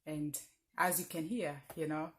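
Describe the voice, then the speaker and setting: A woman in her thirties from Uganda with a strong Lugbara accent, talking earnestly and reflectively in a personal vlog, pausing often with fillers. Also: sits low, steady tone. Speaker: vlogger. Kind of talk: casual personal talk to camera.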